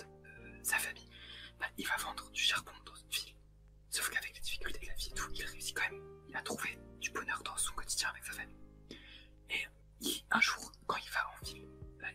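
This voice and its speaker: A young ASMR co-host whispers in a very high, airy register. The talk is casual and full of youth slang, like a relaxed review between friends. Music is clearly heard underneath.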